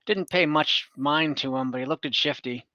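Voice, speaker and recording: A man's voice: a little high, normal speed, bright, forceful tone, dry recording, highs cut off. A man playing a captive female bandit who is blunt, pragmatic and offhand, with wry irritation, in a tabletop game. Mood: matter-of-fact. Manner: matter-of-fact, offhand.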